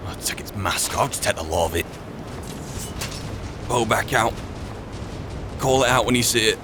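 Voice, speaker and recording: A man's voice: normal speed, background noise. A man giving understated, in-character commentary as he roleplays through a fantasy video game, often muttering to himself. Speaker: gameplay roleplayer and narrator. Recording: loud game ambience and rumbling behind.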